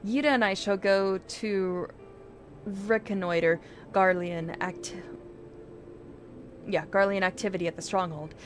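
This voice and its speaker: A woman's voice: mid-range voice, steady tone, unhurried, pauses often. A woman game streamer reading video game dialogue aloud in character, with casual asides. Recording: music behind the voice.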